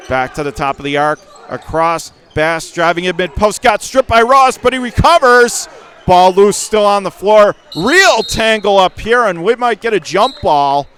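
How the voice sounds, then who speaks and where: A man calling live radio basketball play-by-play in clipped phrases that can burst into excited calls. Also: sits high, clean tone. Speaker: play-by-play announcer. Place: courtside in a high school gym during a game.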